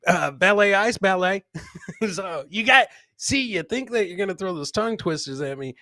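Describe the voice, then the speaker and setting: A man's voice: sits high, animated, normal speed, bright, forceful tone, no echo, clean studio sound. A man hosting a live comedy podcast, jokey and informal, repeating his phrases as he reacts to clips and chat. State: several beers in. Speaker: host.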